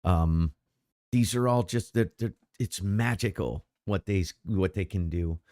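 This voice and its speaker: A man's voice: sits low, measured pace, dry recording, clean studio sound. A middle-aged man co-hosting a podcast, chatting casually in a rambling way with many restarts. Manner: rambling, animated, frequent restarts. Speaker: podcast co-host. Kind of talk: casual chat.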